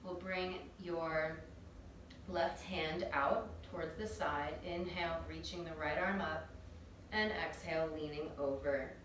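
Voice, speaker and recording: A woman teaching a yoga class, calmly guiding breath and movement step by step. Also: sits low, measured pace. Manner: calm, gentle cueing of breath and movement. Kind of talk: guided yoga instruction. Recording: very echoey room, distant mic, faint noise.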